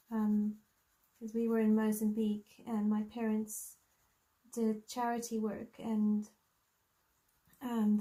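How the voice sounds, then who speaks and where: Chatty South African woman, slightly high. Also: dark tone, rough recording. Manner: chatty, friendly, thinks aloud with many ums. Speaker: coloring channel host. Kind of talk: casual live-stream chat while coloring.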